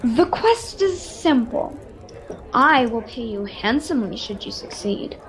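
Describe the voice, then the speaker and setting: A mysterious adviser in a radio fantasy serial, acted by a woman, speaks in a high voice. She is courteous and measured, choosing formal words as a knowing patron would. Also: animated, fairly bright tone. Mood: confident, inviting. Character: mysterious cloaked woman, well-spoken adviser and quest-giving patron. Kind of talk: radio-serial adventure acting. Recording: home video-call recording.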